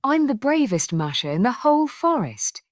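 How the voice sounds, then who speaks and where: Woman as plucky little girl, raised pitch, bouncy intonation, excitable, earnest, children's storybook character. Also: normal speed, no echo, studio quality. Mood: triumphant.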